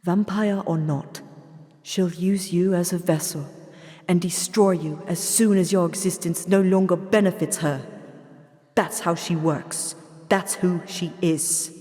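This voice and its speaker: A woman's voice: on the low side, fairly even intonation, a little slow, warm tone, lightly acted. A woman playing a shrewd, composed vampire princess and doctor in an audio drama, with dry scorn. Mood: angry, defiant. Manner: composed, accusatory, dryly scornful. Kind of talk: scripted immersive audio drama. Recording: long reverberant hall sound.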